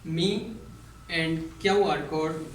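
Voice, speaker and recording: Guy hosting a speech contest, slightly high voice, slow announcing, roomy and noisy. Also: steady tone. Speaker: contest host. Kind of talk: formal event announcing. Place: echoey room at a speech contest. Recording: echoey room, distant mic, clear noise.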